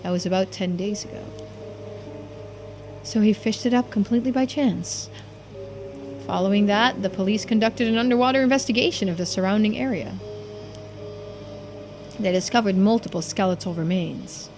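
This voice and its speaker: A woman's voice: mid-range voice, over music, lightly acted. Woman voicing a formal, diligent investigator's assistant. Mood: formal, serious. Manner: formal, measured, reporting. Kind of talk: voiced visual-novel dialogue.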